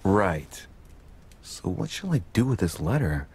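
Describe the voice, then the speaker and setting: An actor playing a pensive young gentleman investigator, musing wryly to himself in an understated way in a video game. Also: low voice, big pitch swings, a little slow, slightly rough, full character voice. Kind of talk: video game monologue acting.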